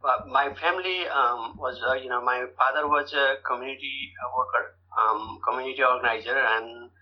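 Podcast guest, male, middle-aged, Nepali accent, halting delivery with repeated words, medium pitch, lo-fi sound. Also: steady tone. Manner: halting, repeats words and often checks with "right" and "you know". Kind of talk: interview answers. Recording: dull lo-fi audio with loud-ish background noise.

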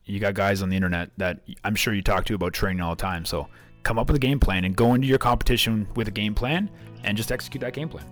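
A man's voice: lowish voice, quick pace, soft background music. A man hosting a podcast, asking casual, upbeat questions and joking easily with his guest. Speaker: podcast host. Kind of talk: casual interview chat. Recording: roomy echo.

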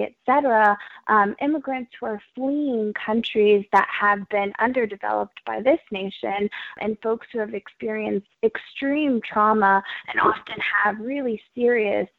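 A woman from Ethiopia, an immigrant rights organizer, answering interview questions earnestly and in a measured way, with frequent ums. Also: medium pitch, vocal fry, no echo. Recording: tinny dry phone line.

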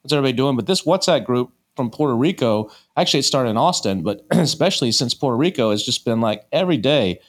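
A man's voice: mid-range voice, expressive, quick pace, few pauses. A man hosting a podcast, asking questions in a casual, rambling way full of filler words. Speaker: podcast host. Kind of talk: casual interview chat.

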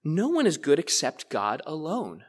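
A man's voice: fairly high pitch, wildly swooping pitch, unhurried. Conversational male pastor preaching. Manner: conversational, addresses congregation directly. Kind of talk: sermon. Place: church.

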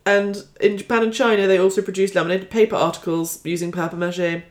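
A woman's voice: medium pitch, steady tone, fast. A woman hosting a podcast in a jokey, giggly way, chatting casually and sometimes reading facts aloud. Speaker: podcast host.

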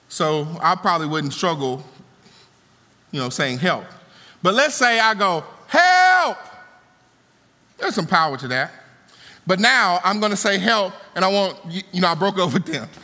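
Male preacher, dynamic sermon delivery, bright and forceful, big reverberant church. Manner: dynamic, swings from soaring to low conversational asides. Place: church auditorium. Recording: long hall reverb.

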